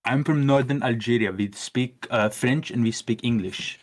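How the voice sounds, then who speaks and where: A man calls in to a livestream to argue his point. He hesitates often, repeating words and filling gaps with "uh". His voice sits at an ordinary middle pitch. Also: moderate pace. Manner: hesitant, stumbling, fillers. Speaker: caller. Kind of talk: call-in debate.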